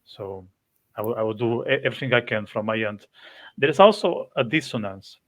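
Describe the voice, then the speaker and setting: A man's voice: mid-range voice, lively intonation, brisk. A man hosting a podcast interview, speaking hesitantly with frequent fillers and restarts. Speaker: podcast host. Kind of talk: casual interview.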